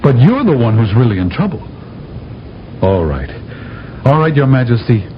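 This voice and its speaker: A bold, persuasive space envoy played by a man with big swings of pitch and an emphatic, stagey push. It sounds like old radio drama, slightly muffled, with a layer of noise behind it. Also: sing-song, moderate pace, lightly acted. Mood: bold, commanding. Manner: insistent, theatrical, emphatic. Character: bold, persuasive male astronaut envoy from Earth. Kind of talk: 1970s radio drama acting. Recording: dull, noisy old radio broadcast recording.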